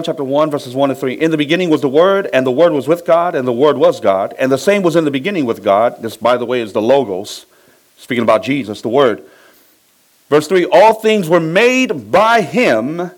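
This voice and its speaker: A man's voice: a little high, rough recording. A middle-aged man from Samoa, a pastor preaching a sermon, asking his congregation to finish his sentences. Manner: lively preaching, asks the congregation to fill in words.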